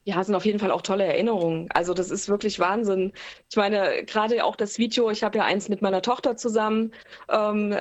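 A woman who writes and sings her own songs talks through an interview in her native German, calm and thoughtful, pausing often on small fillers. Her voice sits at an ordinary middle pitch. She is heard over a remote call line. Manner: relaxed, thoughtful, many fillers. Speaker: singer-songwriter guest. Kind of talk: casual interview talk.

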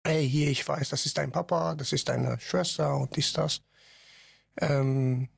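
A man from Iran, a podcast guest talking haltingly with many fillers. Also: on the high side, fairly even intonation, gravelly, husky, no room sound, studio quality. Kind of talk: casual podcast interview.

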